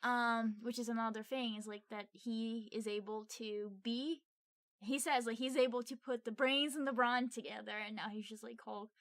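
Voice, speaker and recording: Woman, bright tone, casual film review chat, gushing, chatty, dry close recording. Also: fairly high pitch, steady tone, normal speed, no echo. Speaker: podcast co-host reviewing a film.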